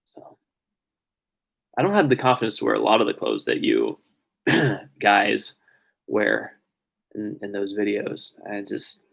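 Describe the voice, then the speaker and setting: A young man chatting casually on a live stream and answering viewer questions, rambling and correcting himself, his voice growing raspy from talking for an hour. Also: fairly low pitch, expressive, unhurried, pauses often. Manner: rambling, self-correcting, trailing off quietly. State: voice getting raspy from long talking.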